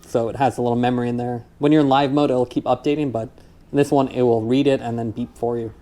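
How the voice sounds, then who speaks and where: A man's voice: medium pitch, fairly even intonation, quick pace, warm tone. A man giving a casual, plain-spoken product review, explaining and demonstrating features in a matter-of-fact way.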